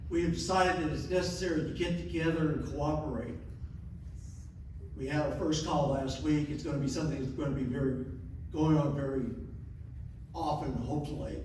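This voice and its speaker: A man's voice: on the high side, steady tone, normal speed, long pauses, darkish tone, slight background noise. A man giving a conversational update at a community meeting, recorded in a meeting room. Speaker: group member giving an update. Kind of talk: informal meeting report. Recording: echoey room, distant mic.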